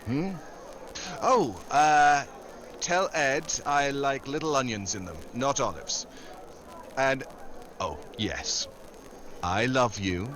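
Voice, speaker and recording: A man's voice: mid-range voice, slow, pauses often, noisy background, lightly acted. A man playing a suave, unflappable American secret agent with dry wit in a 1940s radio drama. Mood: smooth, affectionate. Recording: loud vehicle drone behind voice.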